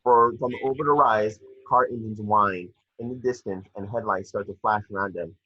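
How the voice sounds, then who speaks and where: A man's voice: fairly low pitch, normal speed, bright, forceful tone, dull audio, slight background noise. A man narrating action descriptions at a script read-through, matter-of-fact and sometimes stumbling over words.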